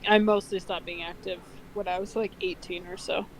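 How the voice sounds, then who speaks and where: A woman's voice: medium pitch, level tone, moderate pace, a little hiss or hum, low-quality recording. A woman in her twenties co-hosting in casual chat, blunt and sweary, with sarcastic exaggeration. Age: 20s. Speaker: video co-host.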